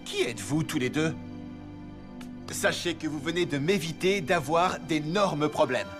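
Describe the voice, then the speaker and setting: Humble, polite cartoon soldier, medium-pitched with animated intonation, loud music underneath. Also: male voice, measured pace. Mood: grateful. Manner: earnest, polite. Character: humble, good-natured young male soldier. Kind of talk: animated children's cartoon dubbing.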